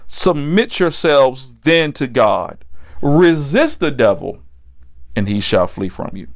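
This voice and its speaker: A middle-aged American man delivering a sermon as a preacher, blunt and admonishing, driving points home with repetition. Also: medium pitch. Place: indoor set with backdrop, standing at a table.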